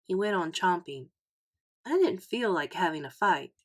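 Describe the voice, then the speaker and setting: A woman storyteller narrating a children's book aloud in an expressive style. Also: on the low side, normal speed. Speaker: read-aloud storyteller, teacher.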